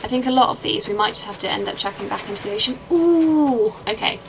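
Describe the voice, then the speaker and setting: A young woman with an English accent chats as she streams a game, rambling from one thought to the next and answering her chat. Her voice has a gravelly, fried edge at a middling pitch. Game music plays clearly behind her. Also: expressive, quick pace, poor audio. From England. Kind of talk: casual chat while playing a game.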